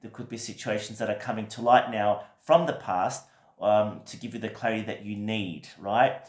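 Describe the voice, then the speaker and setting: A man with a croaky voice from a recent cold, giving a gentle, reassuring weekly tarot reading with many fillers. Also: fairly low pitch, moderate pace, reverberant. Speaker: tarot reader.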